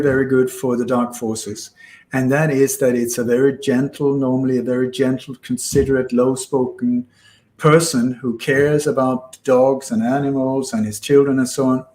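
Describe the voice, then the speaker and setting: A man's voice: medium pitch, darkish tone. A man from Denmark and Sweden with a Scandinavian accent, explaining at length in an interview and repeating phrases for emphasis. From born in Denmark, grew up in Sweden. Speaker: interview guest. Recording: echoey room sound.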